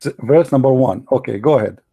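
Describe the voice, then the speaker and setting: A man's voice: mid-range voice, quick pace, vocal fry, mellow, breathy edge, dry recording. A man hosting a heated call-in debate, combative and mocking, speaking English as a second language after Arabic. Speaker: debate show host.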